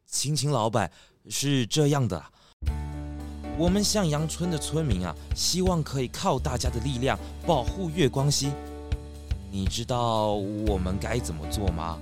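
A man's voice: mid-range voice, expressive, unhurried, over music, close to an everyday voice. A man voicing an earnest village leader in a children's radio drama, a little sheepish at times.

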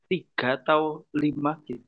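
A young man co-hosts a relaxed podcast, answering in short, teasing remarks. His voice sits a little high, and the recording sounds dull and lo-fi. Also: a little slow. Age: young adult. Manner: easygoing, short replies, teasing. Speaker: podcast co-host. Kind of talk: casual podcast chat.

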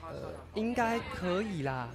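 A woman's voice: lowish voice, expressive, a little slow. A woman playing a nervous schoolboy who is afraid of his strict mother, in an amateur audio drama. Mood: unsure, reassuring. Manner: stammering, pleading when cornered.

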